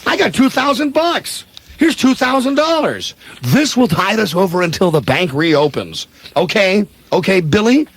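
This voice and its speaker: Guy acting earnest flustered nice small-town banker, radio spoof, husky, highish, pitch all over the place. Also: sing-song, normal speed, heavily acted. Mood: kindly, flustered. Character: earnest, flustered, kindly small-town savings-and-loan man. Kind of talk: comedic radio play acting.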